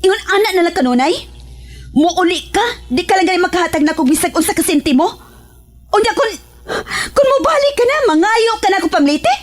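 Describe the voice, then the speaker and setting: Shrill, fed-up Cebuano wife. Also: female voice, expressive, fairly quick. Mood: angry, exasperated. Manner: scolding, emotional delivery. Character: fed-up, quick-tempered wife scolding her irresponsible husband. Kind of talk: Filipino radio drama acting.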